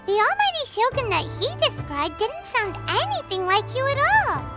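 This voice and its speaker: A woman's voice: very high voice, big pitch swings, normal speed, over loud music. A woman voicing a tiny, curious, perky childlike fairy companion in a video game. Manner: perky, childlike, speaks of self in third person. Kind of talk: video game character acting.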